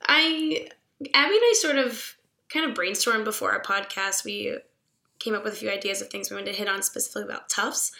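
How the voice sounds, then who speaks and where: A young woman from Pittsburgh, a veterinary student, chatting casually on a podcast with a raspy, hoarse voice from a cold. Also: medium pitch, brisk. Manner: chatty, animated, laughs often.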